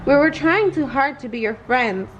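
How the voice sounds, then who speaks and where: A woman's voice: high voice, animated, normal speed, muffled recording, slight background noise. A woman voicing a friendly, enthusiastic young girl superhero, eager and supportive, in a children's storybook. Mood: apologetic.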